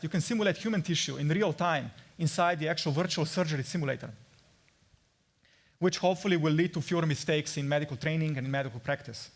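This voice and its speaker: Higher-pitched man with a Slovenian accent. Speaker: assistant professor presenting research. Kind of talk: conference presentation.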